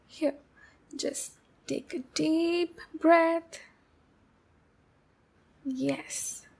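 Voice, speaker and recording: A woman's voice: high voice, exaggerated voice. A woman voicing a shy, anxious, clingy demon captor in a roleplay ASMR, speaking in a hesitant, pleading and soothing way. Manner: hesitant, halting, pleading, soothing with pet names. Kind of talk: roleplay ASMR, intimate acted monologue.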